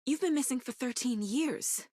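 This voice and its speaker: A woman's voice: high voice, moderate pace, mellow, dry recording, studio quality. An actress voicing a determined, intense woman FBI agent in dramatic video game dialogue. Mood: concerned, steady.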